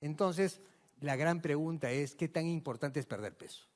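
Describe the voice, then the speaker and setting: A man from Peru delivers a medical lecture in a large hall, his voice a bit higher than most men's and trailing long reverb. He talks emphatically and asks the audience questions. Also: normal speed. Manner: emphatic, rhetorical questions, addresses audience directly. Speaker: physician lecturer.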